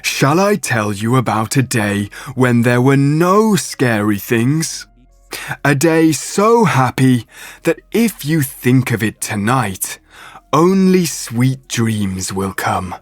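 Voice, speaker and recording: Guy as daddy bear, gentle n reassuring, slow bedtime storybook voice, music behind. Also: medium pitch, big pitch swings, dry recording. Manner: tender, soothing, unhurried. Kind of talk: children's bedtime storybook reading.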